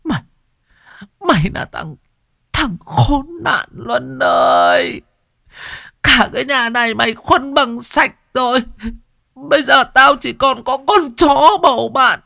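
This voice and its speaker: A man's voice: very high voice, big pitch swings, takes it slowly, vocal fry, sharp tone, dry recording, clean studio sound, pitched above the performer's own voice, full character voice. A man voicing a frail, grieving old village mother who wails and sobs. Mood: wailing. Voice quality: wailing, sobbing.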